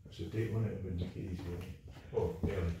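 A man's voice: low-pitched, steady tone, a little fast. An older man chatting casually as he tours an old manor house with family, musing and adding tag questions. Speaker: father on a family outing. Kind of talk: casual chat while touring. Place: rooms of a large old manor house, indoors. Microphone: phone camera held by companion. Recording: noisy handheld phone audio.

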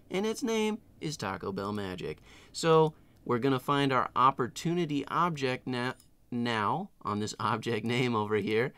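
A middle-aged man hosting a casual screen-share software tutorial in a tongue-in-cheek, playfully exaggerated way. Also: mid-range voice, clean tone. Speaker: tutorial host.

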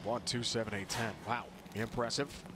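A man doing live play-by-play for a televised bowling match, calling each shot in brisk, punchy phrases. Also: fairly low pitch, fairly even intonation. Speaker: play-by-play announcer. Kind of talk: live sports commentary. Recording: loud arena crowd and noise behind.

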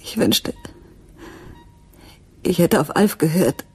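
A woman playing a troubled woman searching for the truth in a German radio crime drama, with a breathy, husky voice. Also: lowish voice, expressive, takes it slowly, darkish tone, heavily acted. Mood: anguished, whispering.

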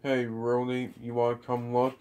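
A puppeteer in casual homemade puppet play, giving brief, halting replies with repeated words. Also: medium pitch, monotone, dark tone. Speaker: puppeteer in a home puppet show. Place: living room beside a Christmas tree at home. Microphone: phone camera a short way off.

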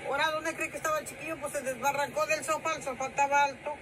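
A woman's voice: high-pitched, steady tone, fast talker, noisy background. A middle-aged woman chatting casually with a neighbor on a sunny sidewalk, telling a story and calling her "doña". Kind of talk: casual chat. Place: sunny residential sidewalk outdoors.

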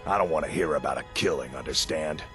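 Very deep-voiced burly warrior. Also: male voice, fast, a bit bright, soft background music. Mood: blunt, commanding. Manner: blunt, simple, commanding. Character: burly plain-spoken warrior, gruff but brotherly. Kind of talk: video game dialogue.

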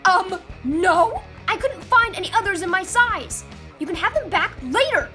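A flustered, nerdy teenage boy , as a woman voices him, protests in a stammering, defensive way. The voice is high and lively, jumping around in pitch, with music behind it. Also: moderate pace, exaggerated voice. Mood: indignant.

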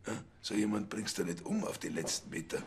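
A deep, gravelly grumbling cop. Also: male voice, sing-song, a little fast, noisy background. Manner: blunt, grumbling. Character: gruff, stingy, hypochondriac male Bavarian cop.

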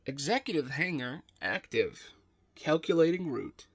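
Man playing a high-pitched, polite ship computer. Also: sing-song, measured pace, slightly rough, no room sound, clean studio sound, heavily acted. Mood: matter-of-fact. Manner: formal, servile, precise. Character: polite ship's AI computer, deferential and apologetic. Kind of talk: audio drama table read.

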